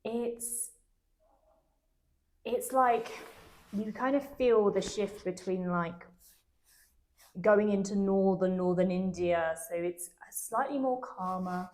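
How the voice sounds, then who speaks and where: A woman's voice: mid-range voice, slow, long pauses, darkish tone. A young woman with an English accent, chatting easily as an interview guest, laughing often and using lots of fillers. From England. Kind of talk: casual interview chat.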